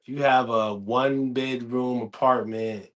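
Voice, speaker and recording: A man in his thirties hosting a casual podcast chat, telling stories with lively acted-out quotes. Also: medium pitch, slow. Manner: animated storytelling, acts out quoted dialogue. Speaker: podcast host.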